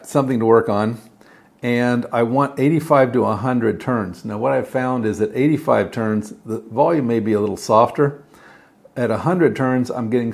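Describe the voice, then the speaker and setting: Male DIY tutorial host: fairly low pitch, noticeable vocal fry, informal, plain-spoken hands-on explaining. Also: a little mellow. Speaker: host of DIY electronics build videos. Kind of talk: casual hands-on tutorial talk.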